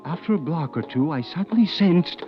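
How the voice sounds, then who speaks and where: A man's voice: on the high side, expressive, normal speed, natural voice. An actor playing an anxious, exasperated smuggler who tells his own tale in tense, literate 1940s radio drama style. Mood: suspenseful. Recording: dull old radio recording with music.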